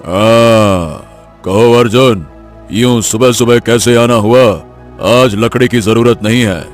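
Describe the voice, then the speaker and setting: A man voicing a stern, scornful middle-aged rich village father in a cartoon story, scolding gruffly. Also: mid-range voice, faint music behind, natural voice. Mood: curt, dismissive. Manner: gruffly scolding, contemptuous, deliberate. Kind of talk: animated story voice acting.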